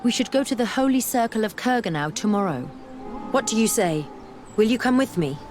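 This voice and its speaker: An actress playing an earnest, scholarly young woman researcher in a fantasy video game, speaking in measured, formal phrases. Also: a little high, animated, music in the background, natural voice. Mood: intent, curious. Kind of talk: video game dialogue acting.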